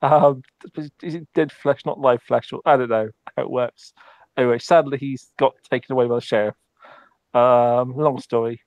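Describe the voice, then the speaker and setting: A man's voice: mid-range voice, fairly even intonation, moderate pace, dry recording, highs cut off. A man speaking in character during a tabletop roleplay, hesitant, with many ums and restarts.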